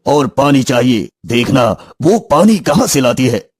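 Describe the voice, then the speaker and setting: A man voicing a grave, wise royal sage and healer in a solemn, deliberate manner for an animated story. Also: medium pitch, slightly rough, no room sound, clean studio sound. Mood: measured, advising. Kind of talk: Hindi animated bedtime story acting.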